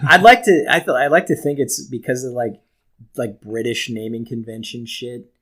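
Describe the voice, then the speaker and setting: A man's voice: mid-range voice, moderate pace. A man co-hosting a podcast in casual, joking banter, riffing freely and swearing as he goes. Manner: profane, animated riffing. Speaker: podcast co-host.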